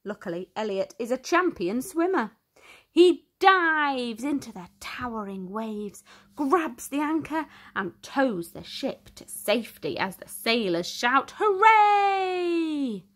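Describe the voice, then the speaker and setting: A woman reading a children's storybook aloud in an expressive, theatrical way with deliberate emphasis. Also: fairly high pitch. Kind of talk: dramatic storytime narration for young children.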